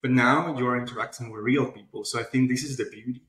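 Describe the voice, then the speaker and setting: A man's voice: mid-range voice, fairly even intonation, quick pace, fairly bright tone. Echoey male interview guest. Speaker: interview guest, publishing platform founder. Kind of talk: conversational interview answers. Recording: echoey room sound.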